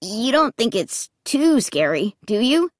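A woman voicing a timid, quiet boy with a soft, hesitant manner in an audiobook. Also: a little high, big pitch swings, measured pace, no echo, clean studio sound. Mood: worried.

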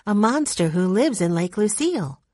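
A woman's voice: mid-range voice, big pitch swings, normal speed, a little mellow, no echo, clean studio sound, pitched above the performer's own voice. A woman voicing a sensible older sister who speaks firmly and directly. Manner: firm, direct.

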